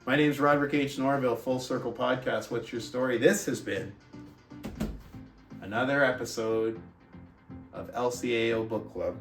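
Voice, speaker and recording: A man's voice: medium pitch, moderate pace, pauses often, fairly bright tone, soft background music. A man hosting a book club, reading aloud and giving motivational coaching talk, putting questions to his listeners. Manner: addresses listeners with rhetorical questions. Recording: roomy echo, distant from the mic.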